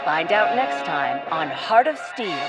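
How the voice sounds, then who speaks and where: A woman's voice: fairly low pitch, very animated, unhurried, over loud music. A woman narrating an adventure radio play in a dramatic, scripted storytelling style.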